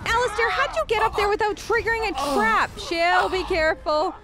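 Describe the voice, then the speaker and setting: A woman's voice: very high-pitched, fairly quick, loud music behind the voice. A woman doing playful, chatty gameplay commentary, sometimes speaking in character, with a mock-whiny touch. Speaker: gamer doing a role-play let's play.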